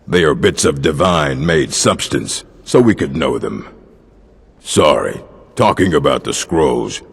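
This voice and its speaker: Gravelly old orc librarian. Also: male voice, extremely low pitch, full character voice. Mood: musing. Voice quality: gravelly, husky. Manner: dry, weary, curt. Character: gruff older male orc librarian, dry and guarded. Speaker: game character. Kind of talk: video game voice acting. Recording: long hall reverb.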